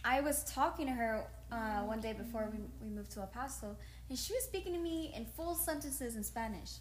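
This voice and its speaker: A woman chatting casually and telling anecdotes in an animated, informal way. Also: a little high, a little fast. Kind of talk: casual chat.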